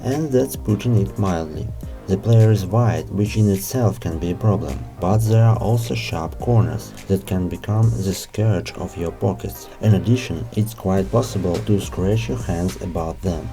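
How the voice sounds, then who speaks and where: A man's voice: deep voice, moderate pace, dark tone. A man narrating a scripted product review as the host, in formal, carefully worded delivery. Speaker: host of an audio gear review show. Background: music.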